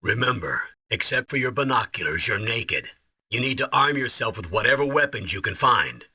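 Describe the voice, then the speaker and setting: An actor playing an authoritative military commander in a video game, briefing in a firm, measured way with a rough, husky voice. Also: male voice, mid-range voice, expressive, sharp tone, dry recording, exaggerated voice. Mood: stern reminder.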